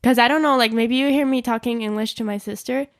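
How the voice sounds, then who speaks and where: Young woman, native Swiss German speaker, podcast co-host; chatty, slightly high, dry close sound. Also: fairly even intonation, quick pace, dry recording. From German-speaking Switzerland. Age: young adult. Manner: chatty, laughs while talking, many fillers. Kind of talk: casual chat.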